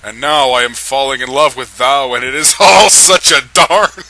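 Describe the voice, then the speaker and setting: Man playing a melodramatic, courtly young vampire, bright-voiced. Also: mid-range voice, normal speed, low-quality recording. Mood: tormented, regretful. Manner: archaic, overwrought courtly phrasing. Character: lovestruck, gallant young male vampire, melodramatic and tormented.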